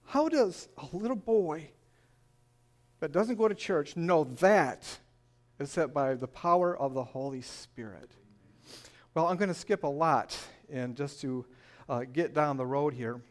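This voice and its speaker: A man's voice: fairly high pitch, measured pace. Earnest older man with a Michigan accent. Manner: earnest, storytelling, with frequent fillers. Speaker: church testimony speaker. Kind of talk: personal testimony.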